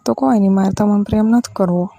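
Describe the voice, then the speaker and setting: A woman's voice: medium pitch, fast, creaky, mellow, slightly rough, no echo. A woman with a Gujarati accent playing a demanding village in-law who wheedles insistently in a casual phone conversation. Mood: sulky, demanding.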